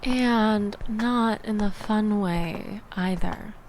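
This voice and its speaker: An actress as a young woman in an intimate audio roleplay, talking to one listener in a sarcastic, exasperated way. Her voice sits at an average pitch for a woman and moves through wry, expressive storytelling. A faint ambient noise runs behind her. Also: very slow. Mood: sarcastic, indignant. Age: young adult. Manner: wry, sarcastic, animated storytelling with sighs and laughs. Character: young woman venting sarcastically after a bad date.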